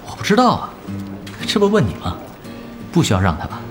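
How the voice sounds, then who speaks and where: Laid-back, cheeky young gamer. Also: male voice, a little high, wildly swooping pitch, a little fast, over music. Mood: casual. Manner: casual, teasing, nonchalant. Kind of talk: Chinese audio drama acting.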